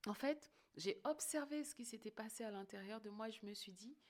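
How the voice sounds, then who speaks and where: A woman's voice: fairly high pitch, fairly quick, darkish tone, slight background noise. Emphatic woman hosting a coaching podcast. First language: French-English bilingual. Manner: emphatic, often checks in with the listener. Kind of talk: motivational podcast monologue.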